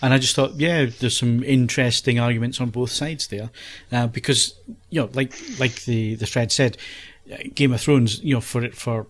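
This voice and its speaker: A man's voice: medium pitch, steady tone, moderate pace. A man hosting a podcast in a casual, questioning chat style. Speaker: podcast host.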